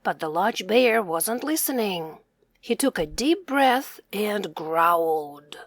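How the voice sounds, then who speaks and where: A woman reading a children's story aloud in a slow, expressive storyteller manner. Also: medium pitch.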